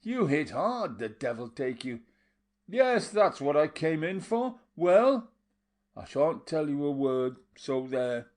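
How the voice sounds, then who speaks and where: A man's voice: on the high side, big pitch swings, takes it slowly, darkish tone, pitched above the performer's own voice. A brooding older man in an audiobook speaks in short, insistent phrases, as a male narrator gives him voice. The tone sits a little above the reader's plain voice and pushes harder. He sounds moody and used to getting his way. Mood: irritated. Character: brooding older man, surly then coaxing.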